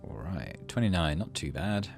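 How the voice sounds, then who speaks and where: Man, low voice, lively intonation, game host, reads narration, thinks aloud, faint music behind. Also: moderate pace, creaky. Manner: thinks aloud, murmurs to himself. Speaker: gaming channel host. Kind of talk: reading game narration aloud, casual musing while playing.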